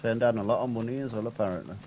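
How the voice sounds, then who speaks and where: A man's voice: on the low side, rapid-fire, a little mellow, slight background noise. A man chatting casually with a gaming friend, mostly giving brief agreeing replies and dry remarks. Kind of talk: casual chat.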